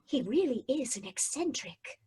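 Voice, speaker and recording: A woman playing a spirited breathy heroine. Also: high voice, sing-song, moderate pace, mellow, exaggerated voice. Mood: wry. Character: spirited young female companion of the hero. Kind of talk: old-time radio drama acting.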